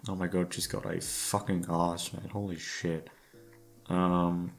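A man with a gravelly voice rambling casually, tired and downcast, hesitant and self-deprecating, swearing often. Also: low voice, flat delivery, slow. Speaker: audio creator talking to listeners. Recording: music playing behind the voice. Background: soft background music.

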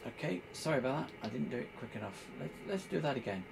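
A man giving an informal hands-on tutorial, explaining step by step in a halting, hesitant way. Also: medium pitch, animated, vocal fry, noisy background. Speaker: presenter demonstrating radio equipment.

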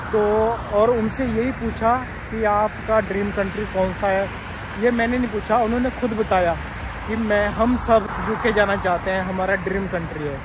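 High-voiced man vlogging over street noise. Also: steady tone, moderate pace. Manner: chatty, loose, repeats himself while pointing things out. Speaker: travel vlogger. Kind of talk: casual walking commentary. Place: outdoors on a city waterfront street. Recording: outdoor traffic noise.

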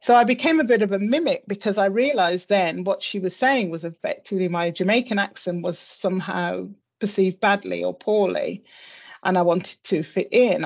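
A middle-aged woman with a British accent speaking reflectively and in a measured way as an interview guest on a video call. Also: lowish voice, creaky, husky. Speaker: interview guest, senior executive. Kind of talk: reflective personal conversation. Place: indoor room on a video call. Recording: slightly dull video call audio.

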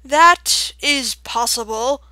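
Storybook owl, played by a woman: grave, imposing, formal, round-toned, very deliberate and forceful. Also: clear, resonant tone, no echo, heavily acted. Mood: measured, formal. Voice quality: deep, round, sonorous. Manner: slow, formal, weighty delivery. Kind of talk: audiobook character voice.

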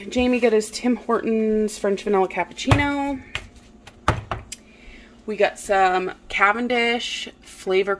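Slow, chatty woman doing a haul. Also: medium pitch, creaky, a little hiss or hum. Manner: relaxed, chatty, many "um"s. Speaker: vlogger. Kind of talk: casual grocery haul narration. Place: home kitchen, indoors.

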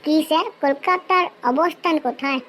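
Someone voicing a high-pitched cheeky cartoon schoolboy slacker. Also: moderate pace, bright, forceful tone, a little hiss or hum. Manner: brash slangy village-style banter. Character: cheeky, girl-crazy overage schoolboy slacker, male. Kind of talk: comedy cartoon dialogue.

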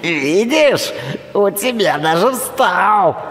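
Man putting on a high, gloating old crone in reverb. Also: lively intonation, fairly bright tone, heavily acted. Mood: gloating, mocking. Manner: slow, gloating, taunting. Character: lewd mocking ugly old woman in nightmare. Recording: long echoing reverb, music underneath.

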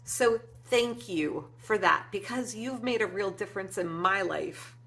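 A woman's voice: mid-range voice, measured pace. A woman in her forties hosting her craft vlog, talking heartfelt and casual to viewers with lots of ums from a room at her desk. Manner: warm and emotional, frequent ums, gestures with hands. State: teary at moments. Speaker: craft channel host. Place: indoor craft room with shelves and flowers.

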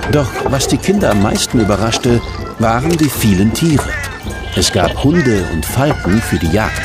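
A man's voice: medium pitch, big pitch swings, measured pace, music in the background. A man playing the narrator of a children's audio drama, telling a medieval adventure. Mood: descriptive.

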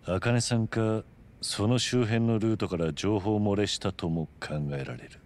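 An actor playing a stoic, commanding middle-aged tough guy in a video game, talking in a terse, grave, deliberate way. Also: low voice. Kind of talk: Japanese video game cutscene acting.

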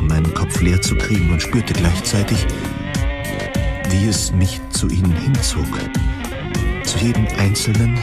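A man reading a scripted first-person narration of a dystopian science fiction story. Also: deep voice. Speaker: audio drama narrator. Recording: music playing behind the voice. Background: plucked guitar music.

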